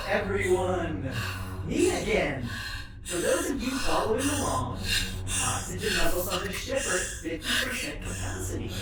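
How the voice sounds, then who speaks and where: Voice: mid-range voice, noisy background. An actor playing a smug, taunting artificial intelligence that runs a ship, in an audio drama with theatrical delivery. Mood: gleeful, taunting. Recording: very echoey, distant, loud music behind.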